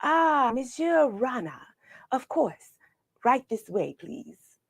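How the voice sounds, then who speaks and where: A woman's voice: high voice, big pitch swings, slow, breathy edge, no echo, clean studio sound, voice pushed up, heavily acted. A woman voicing a courteous, fussy fish waiter in a storybook, with a formal and obliging manner.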